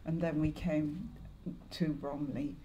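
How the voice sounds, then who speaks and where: An elderly woman with an English accent recalls her past in an oral history interview. Her voice is lowish and notably soft-edged and dark. She speaks in a measured, halting way, pausing as she remembers, with a little noise behind her. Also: fairly even intonation, poor audio. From Lancashire, northwest England. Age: 70s or older. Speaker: interviewee, longtime community volunteer.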